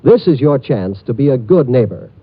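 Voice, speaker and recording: A man's voice: medium pitch, lively intonation, moderate pace. A man announcing credits and a public service appeal in formal 1950s radio style, on an old lo-fi recording. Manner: smooth formal announcer delivery. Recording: dull lo-fi old radio transcription.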